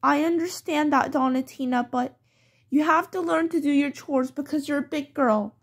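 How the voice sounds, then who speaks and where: A woman's voice: sits high, moderate pace, voice pushed down. A woman playing a strict mother who lays down rules in a firm, scolding way, in a homemade pretend-play skit.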